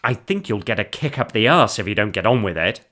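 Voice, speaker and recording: A man's voice: lowish voice, sing-song, fairly quick, sharp tone, full character voice. An exasperated wife and mother as a male narrator plays her in a comic story. She scolds with sarcasm, her voice jumping up and down. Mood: dry sarcasm.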